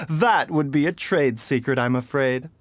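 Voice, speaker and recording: A man playing a gentle, polite and wryly composed doctor in a video game, speaking in courteous, formal phrases over music. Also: a little high, dry recording. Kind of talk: scripted game character dialogue. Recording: background music under dialogue.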